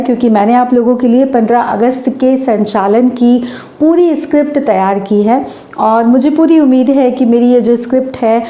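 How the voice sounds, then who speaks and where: A hoarse woman hosts her channel and recites a stage anchoring script in a formal, declamatory way, slowing down for poetic couplets. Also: a little high, warm tone, echoey room, highs cut off.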